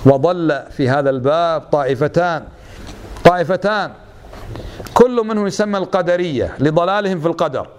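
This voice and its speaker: A man's voice: fairly high pitch, fairly quick, a little hiss or hum. Man teaching a religious lesson. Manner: rhetorical questions, mixes dialect with formal Arabic. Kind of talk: religious lesson to a gathered audience.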